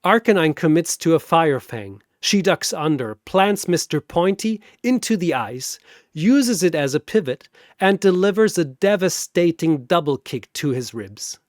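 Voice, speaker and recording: A man narrating a scripted battle story in a theatrical, deliberate way, like a dramatic commentator. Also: fairly high pitch, big pitch swings, no echo, studio quality.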